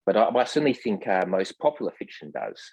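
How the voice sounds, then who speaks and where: A man speaking as a guest translator in a scholarly discussion, formal in wording, with hesitant, measured phrasing full of ums. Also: fairly low pitch, big pitch swings, darkish tone, husky, dry recording, low-quality recording. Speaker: literary translator, podcast guest.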